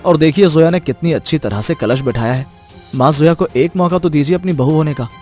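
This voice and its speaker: A man voicing a gentle, persuasive husband. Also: on the high side, brisk, lightly acted. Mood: calm. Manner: calm, persuasive. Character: gentle reasoning young husband. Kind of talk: animated moral story dubbing. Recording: music bed behind voice.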